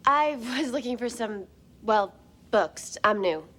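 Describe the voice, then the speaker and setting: Casting: plucky teen newcomer, TV drama, casual and direct, somewhat high-pitched. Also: female voice, animated, slow pace, a bit bright, full character voice. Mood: friendly, casual. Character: plucky, friendly new high school girl. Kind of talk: television drama acting. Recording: TV soundtrack with music underneath.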